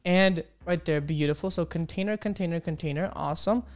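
A young man teaching coding live on stream, upbeat and exclamatory, chatting with viewers as he types. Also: a little fast. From Ukraine. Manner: upbeat, exclamatory, keeps saying boom and beautiful. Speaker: coding instructor on livestream. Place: small home studio. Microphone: studio mic on arm with headphones.

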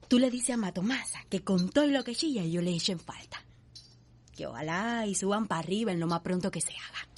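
An actress playing a gossipy, teasing plantation servant woman with a husky voice and Cuban accent in a radio soap opera. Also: on the low side, darkish tone. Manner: chatty, folksy, teasing. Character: gossipy, teasing enslaved plantation cook or maid.

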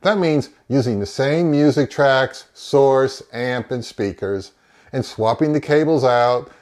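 A man's voice: mid-range voice, slow, a little mellow. A man in his fifties giving an opinionated review talk to camera from a home office, speaking in an emphatic, wry way. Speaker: audio review channel host. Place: small home office, indoors.

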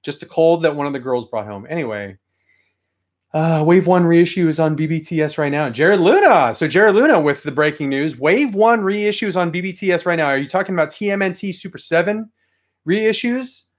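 A man's voice: on the high side, animated, fairly quick. A man in his thirties, getting over a cold, chatting casually to a live stream chat from a toy-filled room at home. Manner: rambling, chatty, reads chat aloud. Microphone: webcam at desk.

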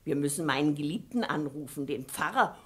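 A woman's voice: low-pitched, lively intonation, a little fast, husky. An actress playing a stern, sardonic maidservant in a 1950s radio play, commanding and motherly, speaking with deliberation. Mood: soothing, practical. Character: stern, bossy maidservant, scolding yet motherly and complicit. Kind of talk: 1950s German-language radio play acting.

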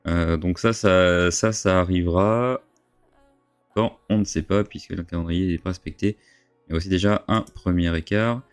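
A man's voice: deep voice, fairly even intonation, normal speed, clean tone, no room sound, faint music behind. A man in his thirties with a congested nose from a cold, giving hesitant, casual game commentary into a close desk microphone. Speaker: gaming video host. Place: indoor home streaming setup. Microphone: large desk microphone, close.

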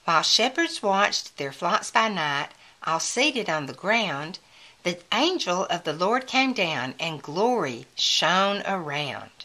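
A woman reading a children's picture book aloud in a deliberate manner, as if counting along with young listeners. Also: fairly low pitch, animated, bright, forceful tone.

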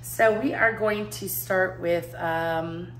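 A woman with a cold, a little hoarse, chatting casually through a fluid art painting tutorial with many fillers. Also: fairly low pitch, slow pace, reverberant, a little hiss or hum.

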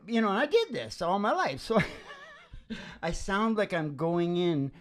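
A man's voice: sits high, big pitch swings, unhurried. Older man bantering with guitar behind. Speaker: musician and show host. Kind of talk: casual stage banter between songs. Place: small wood-panelled room, indoors. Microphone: vocal microphone on a stand. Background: acoustic guitar.

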